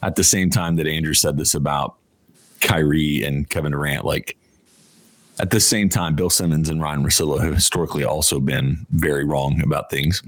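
Very deep-voiced male sports podcaster. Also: fairly even intonation, normal speed, no echo. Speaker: podcast co-host. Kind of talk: casual sports podcast banter and commentary.

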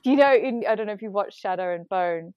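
A woman's voice: medium pitch, animated, quick pace, smooth, no room sound, highs cut off. Reflective young woman from Bali, dry sound. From Indonesia (Bali). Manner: reflective, thoughtful, with hesitations. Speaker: guest. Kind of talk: casual conversation.

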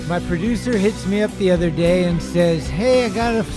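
A man's voice: high voice, moderate pace, music in the background. A man hosting a podcast, chatting easily with his guest and reacting with short encouraging replies. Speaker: podcast host. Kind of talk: casual podcast chat.

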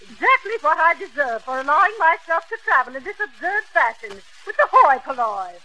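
Snooty matron: pompous, affected, bright high voice, vintage radio comedy. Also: female voice, very animated, full character voice, rough recording. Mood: indignant, disdainful. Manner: affected, snobbish, drawn-out delivery. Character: haughty, pompous society matron. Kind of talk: 1940s radio comedy acting.

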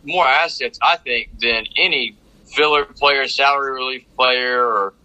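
A very bright, forceful male voice with a North Carolina Southern accent. He talks sports casually as a fan, rambling along and doubling back on phrases for emphasis. The pitch sits in an ordinary middle range. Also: a little slow, poor audio. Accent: North Carolina Southern American. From North Carolina, United States.

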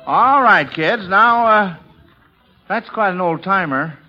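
Bright, high-voiced man hosting old radio quiz. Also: animated, takes it slowly, faint music behind. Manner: avuncular, coaxing children along, repeats himself. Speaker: quiz show host. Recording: dull, band-limited vintage radio recording.

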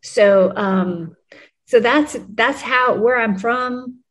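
A woman's voice: fairly high pitch, drawn-out words. Chatty woman guest from New Mexico. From New Mexico, USA. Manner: chatty, with frequent ums and laughs. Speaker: podcast guest, music publicist. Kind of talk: casual conversational interview.

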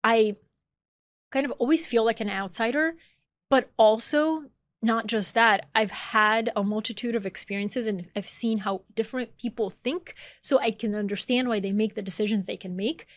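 A woman's voice: a little high, normal speed, no room sound. A woman in her thirties, originally from Bulgaria and raised in the American South, talking casually as a podcast guest, with lots of "like" fillers and easy laughter. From born in Bulgaria, raised in Atlanta, Georgia. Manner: chatty, frequent "like" fillers, laughs easily. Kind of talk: casual conversational interview.

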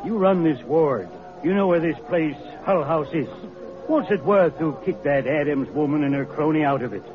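A man's voice: a little high, normal speed, music in the background, close to an everyday voice. An actor playing a hard-hearted, complaining male factory owner, alarmist in manner, in an old radio drama. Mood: indignant. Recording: tinny, narrow old radio recording.

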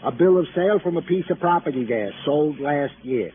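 Male, acting pompous, complaining police sergeant for old radio drama; fairly high voice, thin vintage sound, faint noise. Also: lively intonation, fairly quick, phone-quality sound, close to an everyday voice. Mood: officious. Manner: pompous officialese mixed with complaining. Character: fussy, grumbling, comic male police sergeant. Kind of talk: 1950s radio comic-relief acting.

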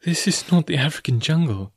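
A man voicing a gentle, troubled young woman in audiobook dialogue, pleading and earnest. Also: a little high, expressive, fairly quick, husky, dry recording, studio quality, voice pushed up. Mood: reproachful, earnest.

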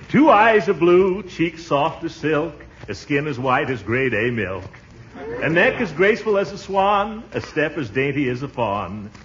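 Blustery pompous uncle, old radio comedy, very animated, dull noisy recording. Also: male voice, fairly high pitch, unhurried. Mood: self-satisfied. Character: pompous, sentimental, blustering middle-aged uncle. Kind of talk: 1940s radio comedy acting.

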